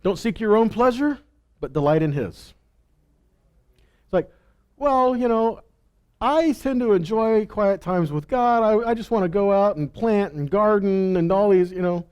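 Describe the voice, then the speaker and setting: A man's voice: high-pitched, animated, a little slow, a little mellow, clear, resonant tone, muffled recording. A man giving an informal sermon as a Bible teacher, reading verses and commenting conversationally with rhetorical questions and imagined replies.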